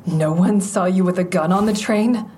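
Actress as low-voiced, haunted woman survivor. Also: normal speed, dark tone, slight background noise, close to an everyday voice. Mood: cold, disillusioned. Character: Haven, traumatized woman survivor narrating, fearful, guarded, hardening. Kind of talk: first-person narration and dialogue in audio drama.

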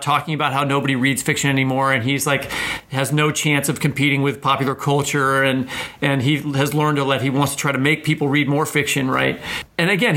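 Bright, higher-voiced man explaining casually. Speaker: podcast host, writing teacher. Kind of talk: casual discussion with anecdotal explanation.

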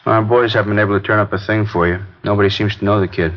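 An actor playing an easygoing narcotics police sergeant in a 1950s radio drama, talking casually with muttered asides. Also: male voice, lowish voice, steady tone, fast, lightly acted. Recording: dull, lo-fi 1950s radio broadcast.

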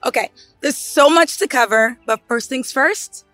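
A woman hosting a podcast, bubbly and excitable, her voice hoarse from screaming. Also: high voice, unhurried, no echo, soft background music. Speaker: podcast host.

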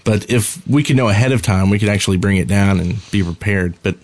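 A man's voice: lowish voice, quick pace, slight background noise. A man co-hosting a podcast, talking casually with friends about gaming. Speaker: podcast co-host.

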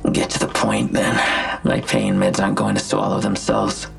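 A man playing a smug, gloating imprisoned villain in an audio drama, slow and mocking, with a gravelly, husky voice. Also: fairly low pitch, sing-song, soft background music, heavily acted. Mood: gloating, sly.

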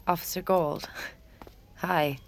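Guarded, anxious young woman for audio drama: low register, heavy vocal fry, confessional. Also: steady tone, slow pace. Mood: guarded politeness. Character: guarded, anxious young woman bartender, narrating her thoughts. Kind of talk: audio drama acting with intimate inner narration.

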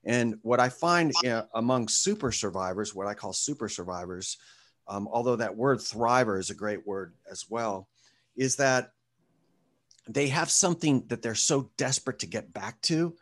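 A man with a voice sitting in the middle of his range gives an interview answer. He tells his points as lively stories, speaking directly to a group of listeners. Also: mid-range voice, moderate pace. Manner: lively storytelling, talks to a group. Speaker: guest author.

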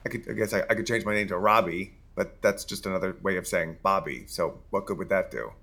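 A man's voice: deep voice, brisk, creaky, fairly bright tone, exaggerated voice. An actor playing a nervous, stammering male theater composer in an audio comedy. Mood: flustered.

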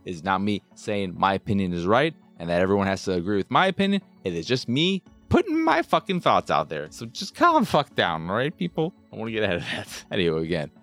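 A man's voice: lowish voice, very animated, fast, few pauses, a bit bright, faint music behind. A man hosting a video game show with casual, sweary, rambling commentary and an exasperated, self-deprecating manner.

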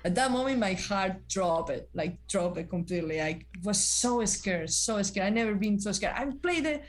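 A woman in her thirties, a former college athlete, telling stories as a guest in a casual interview, halting with many fillers and restarts. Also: mid-range voice, expressive.

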